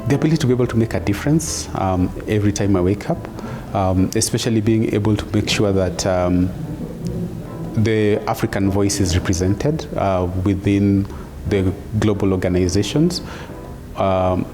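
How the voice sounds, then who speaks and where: A Kenyan man in his late thirties, a medical director, speaking earnestly with many pauses and ums. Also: on the low side, over music. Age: 30s. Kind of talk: interview testimonial.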